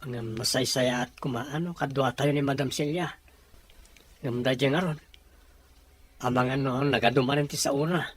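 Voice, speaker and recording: A man's voice: mid-range voice, fairly even intonation, moderate pace, long pauses, slightly rough, close to an everyday voice. Man playing worried young man, Filipino accent, earnest devoted son, radio drama. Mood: troubled. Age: young adult. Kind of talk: amateur Ilocano radio drama acting.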